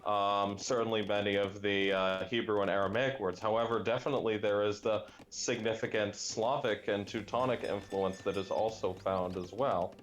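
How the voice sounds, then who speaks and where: A young adult man chatting casually while playing a game, musing aloud and often pausing with fillers and restarts. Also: fairly low pitch, steady tone. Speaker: language gaming guest. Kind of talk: casual chat while gaming.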